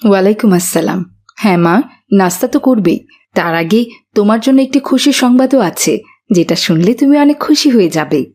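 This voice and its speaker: A woman's voice: mid-range voice, lively intonation, moderate pace, a little mellow, lightly acted. Kindly mother role for children's cartoon, mid-range voice, female performer. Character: kindly mother with happy news for her child.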